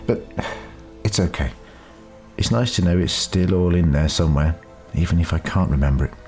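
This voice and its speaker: A low-pitched, world-weary alien doctor, as a male narrator plays him, speaks with wry reflection. He is a retired time traveller in an audio story, with music behind him. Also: moderate pace, darkish tone. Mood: wistful, distracted.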